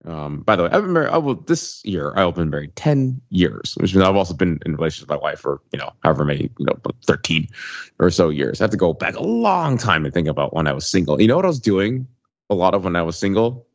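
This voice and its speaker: A man in his thirties co-hosting a podcast, telling personal stories in a measured, self-deprecating, casual way. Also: low voice, big pitch swings, dry recording. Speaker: podcast co-host. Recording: slightly dull, lo-fi microphone sound.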